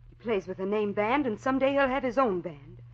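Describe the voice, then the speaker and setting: An actress playing a self-willed, rebellious eighteen-year-old daughter in a 1940s radio drama, with a sharp, defiant delivery. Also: female voice, mid-range voice, expressive, a little fast, lightly acted. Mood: defiant, bitter. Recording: dull, lo-fi old radio recording.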